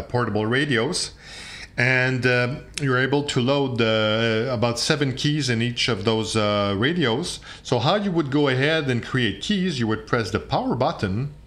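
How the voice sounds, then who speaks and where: Man, mid-range pitch, halting delivery, explanatory, unscripted how-to demonstration, presenter. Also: a bit bright.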